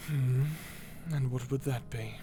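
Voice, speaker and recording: Edgy male vampire, played by a woman: deep for the performer, smug drawl, dry sarcasm, audio drama. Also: fairly even intonation, slow pace, mellow, faint music behind. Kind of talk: character audio roleplay.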